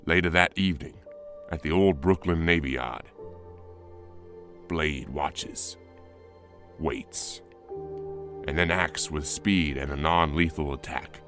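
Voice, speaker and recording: Man as very deep, slow, ominous narrator. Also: a bit bright, faint music behind, full character voice. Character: brooding male comic-book narrator. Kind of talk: scripted dramatic narration.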